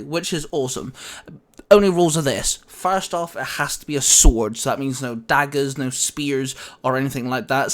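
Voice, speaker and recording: A male host chatting in an upbeat, casual way about fandom topics over a video call. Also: medium pitch. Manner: upbeat, chatty, quick asides. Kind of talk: casual podcast chat.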